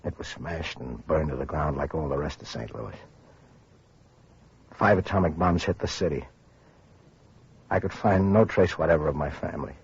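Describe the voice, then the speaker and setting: A grieving, middle-aged survivor in a vintage radio drama. The voice is extremely low and very husky, delivered in a somber, subdued way. The old broadcast recording sounds muffled. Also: male voice, normal speed, long pauses, heavily acted. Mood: somber, weary. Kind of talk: 1940s radio drama acting.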